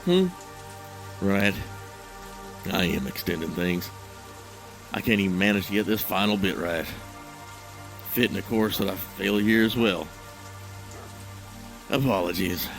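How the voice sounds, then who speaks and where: An actor playing a troubled, guilt-ridden husband in an audio drama, recounting a memory in a halting, hesitant, brooding monologue. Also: male voice, sits low, creaky, a bit bright, soft background music, exaggerated voice, rough recording. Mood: apologetic.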